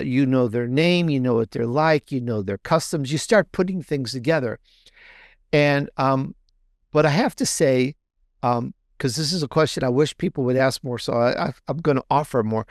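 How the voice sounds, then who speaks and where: A man being interviewed as an author, explaining his storytelling off the cuff in a halting way, repeating words as he searches for phrasing. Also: mid-range voice, dry recording, clean studio sound. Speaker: interview guest, fiction author.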